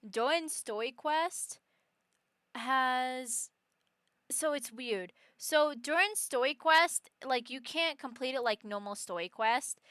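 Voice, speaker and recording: A woman's voice: on the high side, pushed and bright, clear, resonant tone, no room sound, clean studio sound. A young adult woman, chatting casually and in a rambling, informal way as a game streamer. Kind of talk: casual livestream chat and game commentary.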